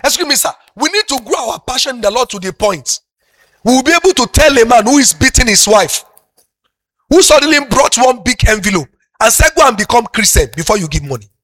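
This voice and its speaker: Nigerian pastor guy with a yoruba accent preaching, high voice, bright sound, goes from soft to shouting. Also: animated, fairly quick, no room sound. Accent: Yoruba-speaking Nigerian. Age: middle-aged. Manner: preaching to a congregation, builds from soft talk to loud passionate shouting. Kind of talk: sermon.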